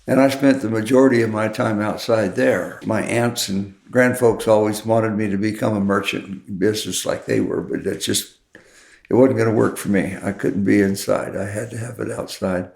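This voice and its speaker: A man's voice: on the low side, steady tone, moderate pace, vocal fry, darkish tone, echoey room. A man from Colorado, a horseman and poet, telling stories casually with dry humor and rural Western turns of phrase. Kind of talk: casual storytelling.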